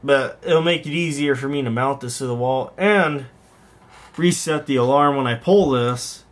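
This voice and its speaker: A man's voice: mid-range voice, normal speed. A man giving casual, unscripted show-and-tell commentary in a rambling, conversational way, often correcting himself as he goes. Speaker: hobbyist vlogger, host.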